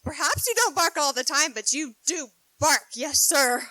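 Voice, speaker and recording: A woman's voice: high-pitched, expressive, normal speed, bright, forceful tone, no room sound, rough recording. A woman playing an outspoken, spirited young woman in a 1940s-style radio play, talking eagerly and frankly. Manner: eager, chattering, frank, giggly. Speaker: radio play actor and narrator.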